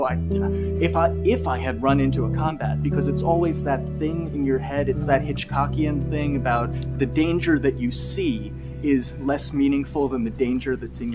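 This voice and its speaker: A man with a mid-pitched voice explains his design thinking in an interview as a game developer. Loud plucked guitar music runs underneath him.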